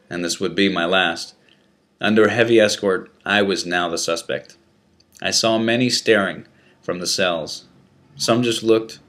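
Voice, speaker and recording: A man with a low-pitched voice reads a first-person prison memoir aloud. His delivery is deliberate and dramatic, like a narrator working from a script. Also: fairly bright tone. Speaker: narrator reading an article.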